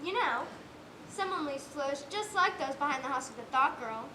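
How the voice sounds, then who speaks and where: A woman's voice: high voice, animated, moderate pace. A young female performer playing an earnest, fanciful orphan girl in a stage play, with quaint, wide-eyed phrasing. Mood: curious, innocent. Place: stage in a roomy hall. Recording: noisy, echoey stage performance recording.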